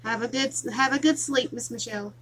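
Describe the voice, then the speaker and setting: A woman hosting a casual crafting livestream, chatting softly with viewers while she works. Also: fairly high pitch, fairly quick, slight background noise. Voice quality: soft, relaxing voice.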